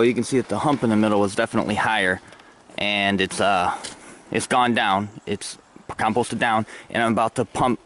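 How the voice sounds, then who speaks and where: An American man giving casual, halting commentary as he thinks aloud, recorded outdoors on a handheld camera. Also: medium pitch. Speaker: gardening vlogger. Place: outdoors in a snowy fenced backyard. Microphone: handheld camera at arm's length. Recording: clear outdoor background noise.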